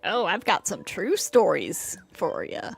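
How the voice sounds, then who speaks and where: A woman's voice: medium pitch, huge pitch swings, takes it slowly, gravelly, husky. A woman streamer chatting casually and playfully as she reacts to a game. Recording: game music behind voice.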